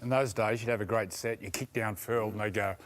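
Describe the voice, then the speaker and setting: A man's voice: lowish voice, fairly even intonation, fairly quick, noisy background. A man whose first language is not English, hosting a sports panel and teasing the guest. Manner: joking, teasing. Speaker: panel host. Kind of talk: jokey panel chat.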